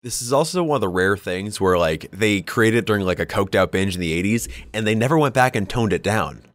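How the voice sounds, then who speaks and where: A man's voice: lowish voice, animated, a little fast. A man co-hosting a podcast, chatting informally about tabletop game lore and peppering his talk with "like". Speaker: podcast co-host.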